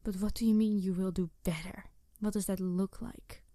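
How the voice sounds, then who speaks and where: A woman's voice: mid-range voice, steady tone, normal speed, no room sound, studio quality, natural voice. A woman playing a snarky, embittered, idealistic supervillain in an intense audio roleplay monologue addressed to the listener. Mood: skeptical, conflicted. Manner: snarky, intense, sighing, shifting from emphatic to hushed. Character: snarky, embittered, idealistic female supervillain confronting her nemesis. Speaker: voice actor.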